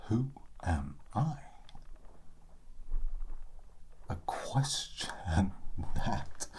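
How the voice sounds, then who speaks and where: A man voicing a sassy, bookish undead skeleton in a soft, breathy ASMR roleplay, slowly and with condescending, sardonic pauses. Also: low voice, sing-song, heavily acted. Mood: haughty, probing. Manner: slow, deliberate, sardonic, with long dramatic pauses. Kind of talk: ASMR roleplay, soft-spoken character monologue.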